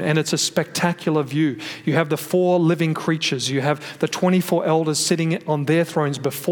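A man preaching a sermon from his notes, explaining scripture in a teaching manner with frequent restarts, his voice carried by a microphone and speakers. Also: fairly high pitch. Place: church hall with a sound system. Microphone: microphone through a PA system. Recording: long reverb of a hall.

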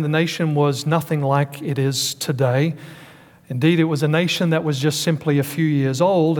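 A man preaching a Sunday sermon to a congregation, stressing key words and putting questions to the listeners. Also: on the high side. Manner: preaching with emphatic pauses and calls to the congregation. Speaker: pastor. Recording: long hall reverb.

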